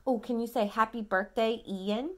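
A woman in her thirties reading a picture book aloud to a toddler at home, dramatically, pausing to ask simple questions. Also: a little high, fairly even intonation. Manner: dramatic, childlike questioning and prompting. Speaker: parent reading a bedtime story to a toddler. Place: small quiet room at home.

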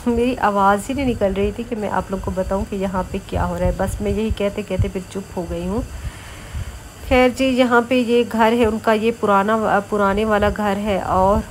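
A middle-aged woman, chatting through a vlog voice-over with a sore, hoarse throat, coughing now and then and pausing often. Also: medium pitch, fairly even intonation, slight background noise, poor audio. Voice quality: coughs between phrases. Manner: chatty, explanatory, with many pauses and fillers. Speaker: vlogger.

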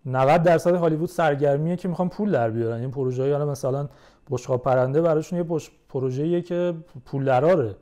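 Mellow, higher-pitched man chatting casually. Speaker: podcast guest. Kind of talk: casual conversation.

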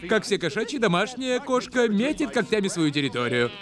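A man's voice: high voice, very animated, fairly quick, crowd noise. An actor voicing a bossy male owl who lectures theatrically like a nature-show presenter in a cartoon dub. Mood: lecturing. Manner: didactic, theatrical.

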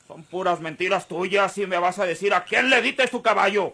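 A high, sharp-voiced old rancher from a Mexican radionovela. He is stern and accusing, gravelly at the edges, and gives orders in a rustic Mexican accent. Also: moderate pace, exaggerated voice. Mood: accusing, commanding. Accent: rural Mexican. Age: older. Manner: forceful, accusing, rustic speech.

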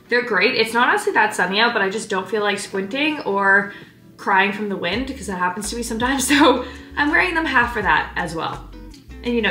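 A woman's voice: fairly high pitch, pushed and bright. Upbeat young woman vlogging in her kitchen, roomy sound, music under it, says like a lot. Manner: chatty, upbeat, lots of "like" fillers. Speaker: fitness vlogger. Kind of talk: casual vlog chat to camera. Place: home kitchen and living room. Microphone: camera on counter, speaking from a few feet away.

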